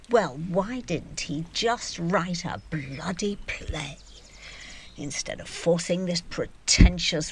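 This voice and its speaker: A woman's voice: medium pitch, heavily acted. An actress in an audio drama playing a middle-aged, imperious and easily wounded mother, theatrical, emphatic and sardonic.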